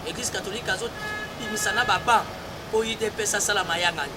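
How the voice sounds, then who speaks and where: A man's voice: sits high, fast. A man with a Congolese French accent, a church spokesperson arguing a political point in an emphatic debate, repeating key phrases and mixing in Lingala. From Congo-Kinshasa. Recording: loud street traffic noise.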